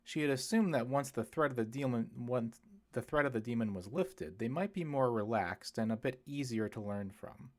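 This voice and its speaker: A man's voice: medium pitch, normal speed. A man reading literary fiction aloud as an audiobook narrator, telling the story expressively. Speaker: audiobook reader.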